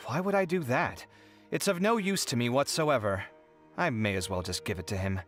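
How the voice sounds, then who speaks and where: A man playing a weary, proud young genius in a video game, with expressive, hesitant delivery. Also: mid-range voice, moderate pace, faint music behind, natural voice. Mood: casual, protesting.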